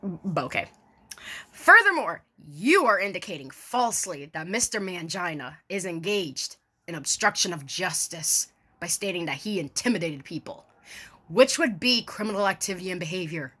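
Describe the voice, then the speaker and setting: Theatrical, sarcastic woman with lively pitch. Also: moderate pace, husky. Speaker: online video creator doing a dramatic reading. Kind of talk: mock-formal dramatic reading with casual sarcastic asides.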